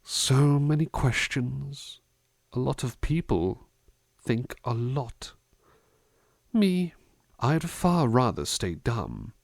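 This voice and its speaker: A man playing a gentle, attentive butler in an ASMR roleplay, musing in a polite, hesitant, formal way into a close microphone. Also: medium pitch, lively intonation, extremely slow, creaky, long pauses, no room sound, heavily acted. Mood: gently whimsical. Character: gentle, musing, attentive male butler looking after someone. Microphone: close dry microphone.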